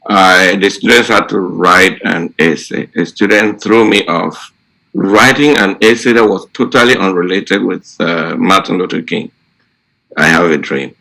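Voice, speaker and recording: A man, a teacher, telling colleagues an unscripted story in a halting, deliberate way. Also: low-pitched, vocal fry, bright, forceful tone, low-quality recording.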